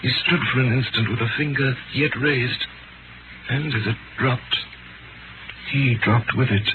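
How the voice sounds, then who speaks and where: An actor playing an imprisoned French doctor in a 1930s radio drama, delivering a grave, deliberate, bitter testament. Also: male voice, mid-range voice, close to an everyday voice. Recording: scratchy, tinny 1938 radio broadcast, loud noise.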